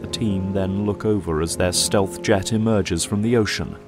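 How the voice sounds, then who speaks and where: A man's voice: low voice, steady tone, moderate pace, over music. A man giving solemn, theatrical scripted narration for an audio drama.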